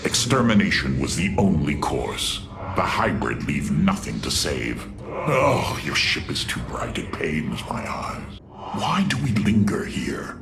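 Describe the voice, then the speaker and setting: An actor playing a haughty, cruel, sardonic alien warlord in a video game cutscene, drawling with theatrical contempt in a deep, gravelly voice. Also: male voice, sing-song, slow, music in the background, full character voice. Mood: mocking, disdainful.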